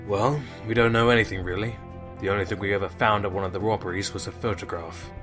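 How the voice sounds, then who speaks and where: A man's voice: on the low side, fairly even intonation, quick pace, over music, natural voice. A man voicing an earnest police inspector pony, matter-of-fact and explanatory, in an audio drama. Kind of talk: audio drama character acting.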